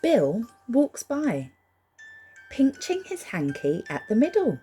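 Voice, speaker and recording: A woman reads a picture book aloud expressively, gently reassuring young listeners and putting questions to them. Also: a little high, wildly swooping pitch, slow pace, soft background music. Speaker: storybook reader for young children.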